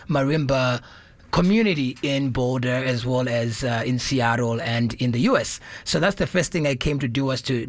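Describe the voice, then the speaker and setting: A man's voice: mid-range voice, dry recording. A man from Zimbabwe with a Zimbabwean accent, answering casually and explaining things as an interview guest, often repeating words as he goes. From Zimbabwe, grew up in Harare. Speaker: interview guest, musician and marimba teacher. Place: radio studio.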